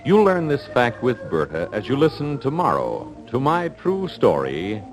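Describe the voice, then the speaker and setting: A man's voice: mid-range voice, huge pitch swings, unhurried, poor audio. An American man hosting a radio drama, reading scripted narration in a 1950s announcer style.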